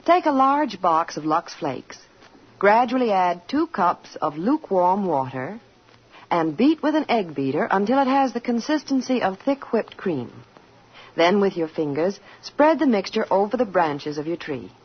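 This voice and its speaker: A woman's voice: mid-range voice, big pitch swings, measured pace, slightly rough. A woman reading a scripted 1940s radio commercial, giving instructions. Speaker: commercial announcer. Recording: dull 1940s radio recording.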